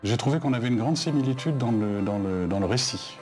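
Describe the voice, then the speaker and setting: A man being interviewed, speaking unscripted in halting, loosely built sentences. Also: mid-range voice, expressive, darkish tone, faint music behind.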